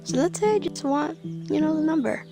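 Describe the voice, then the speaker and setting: A woman's voice: high-pitched, animated, moderate pace, loud music behind the voice. A woman talking casually through a screen-recorded tutorial, one step at a time. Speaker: tutorial maker.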